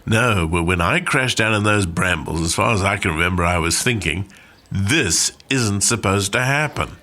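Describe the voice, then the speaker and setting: Man playing old war veteran, radio play monologue, wry, plainspoken, bright forceful tone, swooping intonation. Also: low voice, animated, moderate pace. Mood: rueful, wry. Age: older. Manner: conversational reminiscing, dry understatement.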